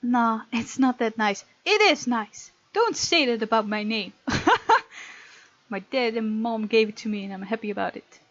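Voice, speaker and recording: A woman's voice: a little high, moderate pace, a bit bright, no echo, lo-fi sound. A woman hosting a gaming video, reading game text aloud and breaking off to comment playfully.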